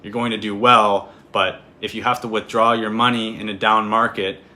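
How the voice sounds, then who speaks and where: Bright-voiced young Canadian man explaining. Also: fairly low pitch, normal speed. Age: 20s. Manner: clear, steady explaining. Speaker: real estate agent. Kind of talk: scripted explainer video.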